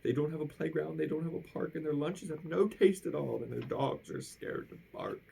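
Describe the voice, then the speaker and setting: A woman reading a children's rhyming picture book aloud with theatrical, drawn-out emphasis at the ends of phrases. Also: low-pitched, gravelly, heavy background noise. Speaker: storybook reader.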